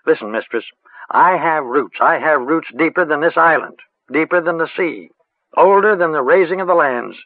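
Old country witch, voiced by a man: rustic, chanting, high and lilting; dry, tinny line. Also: normal speed, no echo. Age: older. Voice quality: rustic dialect, sing-song and chanting. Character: old female village witch, rustic and earthy.

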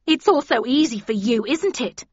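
A woman voicing an angry, jealous middle-aged woman in an audiobook, shrill and scornful. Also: expressive, normal speed, slightly rough, dry recording, highs cut off. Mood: indignant, threatening. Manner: shrill, spitting, rising indignation.

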